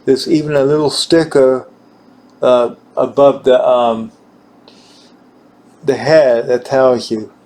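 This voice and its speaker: A man giving an informal hands-on equipment demonstration, halting and repeating himself as he searches for words. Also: medium pitch, steady tone, a little mellow. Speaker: gear demonstrator.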